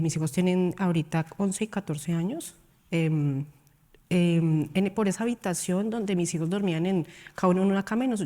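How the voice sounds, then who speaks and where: A woman from Colombia, a panel guest at a conference, giving a personal account with hesitant pauses and fillers. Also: fairly low pitch, warm tone. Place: conference hall.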